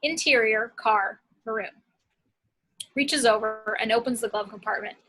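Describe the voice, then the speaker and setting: A woman's voice: fairly high pitch, unhurried, a bit bright, faint music behind, poor audio. A woman reading screenplay action and scene headings as scripted narration.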